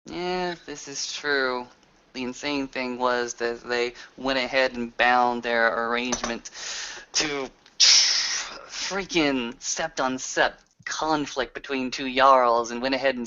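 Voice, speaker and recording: A man's voice: mid-range voice, pushed and bright. A man roleplaying as a player in a tabletop session, hesitant and thinking aloud as he goes. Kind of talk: improvised in-character roleplay. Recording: dull lo-fi recording.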